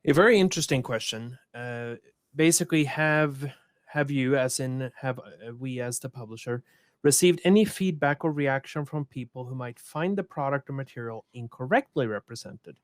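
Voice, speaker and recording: A man's voice: mid-range voice, animated, normal speed, no echo, studio quality. A man from Sweden moderating a conference panel, speaking thoughtfully with many restarts and repeated words.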